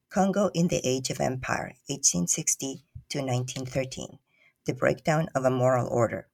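Gravelly-voiced podcast host woman. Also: sits low, darkish tone, dry recording. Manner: formal, careful introductions. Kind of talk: interview hosting.

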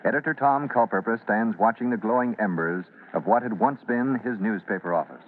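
A man's voice: on the low side, a little fast. A man narrating as a theatrical, emphatic announcer for an old-time radio serial. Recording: tinny, noisy old radio broadcast.

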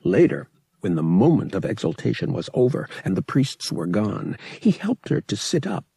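A man's voice: on the low side, big pitch swings, moderate pace, gravelly, warm tone, breathy edge, dry recording. A man narrating a novel as an audiobook reader, in a scripted storytelling style.

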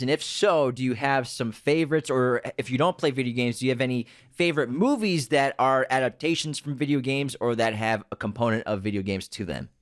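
A man's voice: mid-range voice, no room sound, studio quality. A man hosting a film podcast, upbeat and leading the talk with questions. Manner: upbeat, asks guests questions. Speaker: podcast host. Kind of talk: casual chat.

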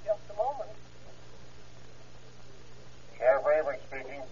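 An actor playing a brisk, businesslike county sheriff in an old-time radio drama. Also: male voice, a little high, sing-song, darkish tone, slightly rough, full character voice. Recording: muffled old radio recording.

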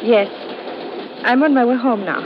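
A woman's voice: on the high side, normal speed, close to an everyday voice. An actress playing a polite young woman, speaking careful, formal English in old-time radio style. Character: polite, soft-hearted young Portuguese woman traveler. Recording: muffled, noisy vintage radio recording.

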